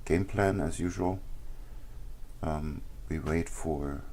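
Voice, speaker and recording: A man with a very deep voice hosts a live trading walkthrough and explains his charts in a relaxed, halting way. His throat is sore and worn from a long night, so he clears it often. A faint hum sits under the recording. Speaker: trading stream host.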